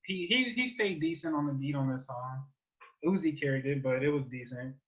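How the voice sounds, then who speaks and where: A man's voice: fairly high pitch, normal speed, dull audio. An American man co-hosting in a casual, playful chat, slangy and freely profane. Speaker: podcast co-host.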